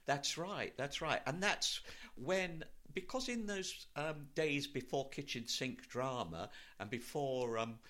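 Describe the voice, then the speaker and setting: A man's voice: medium pitch, takes it slowly, hardly any pauses, fairly bright tone. An older man with a British Received Pronunciation accent, telling anecdotes from his life in a conversational interview. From Wales, later London. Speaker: interview guest, actor.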